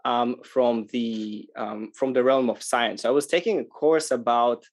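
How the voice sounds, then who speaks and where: A man from Israel speaking as a podcast interview guest, conversational, often pausing mid-phrase as he explains. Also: medium pitch. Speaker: interview guest, sustainable tourism organization manager.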